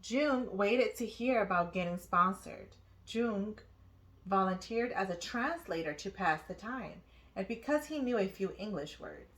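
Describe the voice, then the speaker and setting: A woman reads a children's book aloud in a bright, clearly projected voice. Her phrasing is expressive and deliberate, aimed at young listeners, at a middle pitch. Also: rough recording. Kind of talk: storybook narration.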